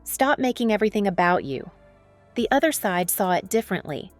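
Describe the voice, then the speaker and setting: A woman's voice: medium pitch, big pitch swings, brisk, faint music behind. A woman delivering a dramatic, scripted sports news narration.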